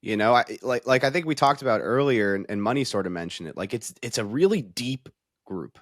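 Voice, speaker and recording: A man's voice: medium pitch, expressive, fairly quick, dry recording, studio quality. A man talking through sports analysis in a conversational, casual way, thinking aloud as a panel analyst.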